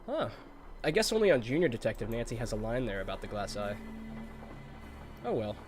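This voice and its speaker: A man's voice: mid-range voice, very animated, a little fast, faint music behind. A congested man with a cold giving chatty, nostalgic commentary while streaming a video game, laughing often.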